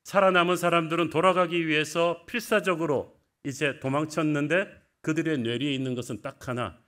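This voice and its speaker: Brief Middle-aged pastor, sermon delivery, lively storytelling, voice slightly high for a man. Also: fairly quick. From Gyeongsang, South Korea. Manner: storytelling, vivid retelling of scripture.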